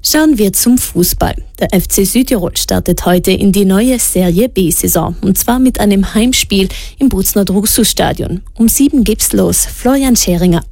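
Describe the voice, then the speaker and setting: A woman presenting regional radio news in a steady, measured read. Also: mid-range voice, gravelly, no room sound. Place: radio studio. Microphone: studio microphone.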